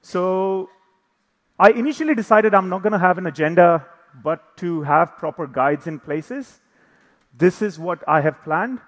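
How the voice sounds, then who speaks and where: A man's voice: sits high, a little slow, fairly bright tone. A man, middle-aged, giving an informal, joking conference presentation from a stage. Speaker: conference speaker. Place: large indoor conference hall, on stage. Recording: hall reverb from a stage PA.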